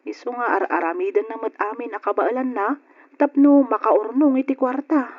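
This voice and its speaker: A woman playing a consoling, pious middle-aged aunt in an old radio drama, earnest and gently admonishing. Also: on the high side, moderate pace, lightly acted. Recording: dull lo-fi radio drama with music.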